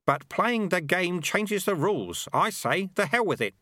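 A crafty old martial arts master, sly and teasing, pushed noticeably higher than a plain speaking voice and swinging up and down as he talks, as a male storyteller puts him on. The sound is close and dry with no room around it. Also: big pitch swings, unhurried, bright, forceful tone, dry recording, heavily acted.

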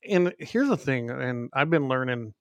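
Man, gravelly voice, rambling podcast co-host, dry sound, glitchy call. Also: medium pitch, animated, unhurried, dry recording, highs cut off. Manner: rambling with many restarts and fillers. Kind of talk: casual remote podcast chat.

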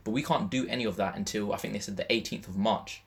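A man's voice: on the low side, fast talker, vocal fry. A young man speaks. His talk is casual and slangy, and he thinks aloud, circling back to restate his point. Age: young adult. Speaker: podcast co-host, university student. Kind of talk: casual chat.

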